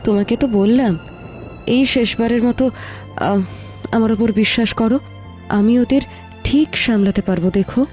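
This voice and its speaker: A woman playing a young, caring stepmother in a cartoon story, gentle and fretful, pleading and repeating words in melodramatic style. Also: fairly high pitch, moderate pace, long pauses, natural voice. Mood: reassuring. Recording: music under the voice.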